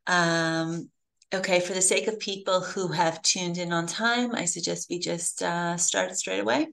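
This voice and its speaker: Woman hosting an interview, lower voice. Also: fairly even intonation, a little slow, creaky, a bit bright. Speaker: host, elder law lawyer.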